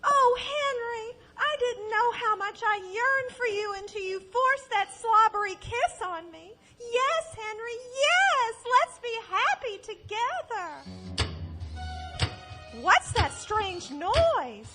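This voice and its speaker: Swooning widow, actress: piping high, slow, gushing, melodramatic, comic live audio drama. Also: female voice, expressive, pushed and bright, faint music behind, exaggerated voice. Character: breathless, swooning young widow, melodramatic damsel. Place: stage, live audio theatre performance.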